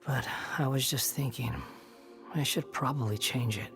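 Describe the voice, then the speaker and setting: Male actor as sardonic young man, bitter, proud, aloof, mid-pitched, game dialogue over faint music. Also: moderate pace, natural voice. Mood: brooding, resigned. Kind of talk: video game voice acting. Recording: background music under voice.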